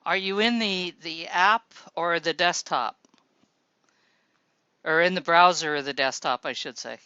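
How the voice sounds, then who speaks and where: Man, casual chat host, crisp, dry close sound. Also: on the high side, unhurried, no echo, highs cut off. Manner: relaxed, helpful, reads posts aloud. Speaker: show host.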